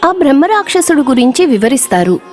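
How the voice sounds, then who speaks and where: Brief: female narrator for kids' moral tales, high-pitched and very animated. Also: a little fast, faint music behind. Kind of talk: scripted children's story narration.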